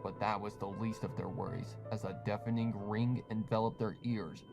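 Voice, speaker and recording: A man giving a dramatic, ominous, deliberate scripted narration of a horror story. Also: fairly low pitch, steady tone, gravelly, dull audio, music in the background.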